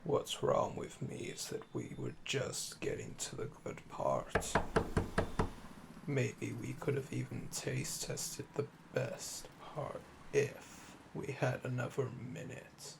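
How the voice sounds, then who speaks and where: Casting: male actor as smug yandere assassin for roleplay audio, heavy vocal fry, slow seductive drawl, noisy ambience behind. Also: mid-range voice, animated, exaggerated voice. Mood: smug, insistent. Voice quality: gravelly, fry-laden, often dropping to breathy murmur. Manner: slow, teasing, seductive, drawling pet names. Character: calm, flirtatious yandere assassin in custody, smug and menacing. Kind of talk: roleplay audio acting, intimate ASMR.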